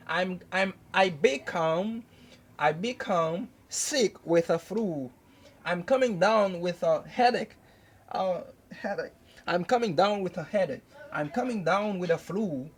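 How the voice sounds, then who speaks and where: A young man teaching an English lesson at a whiteboard, slowly repeating each phrase several times. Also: high-pitched, expressive. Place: indoors at a whiteboard. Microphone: camera close by.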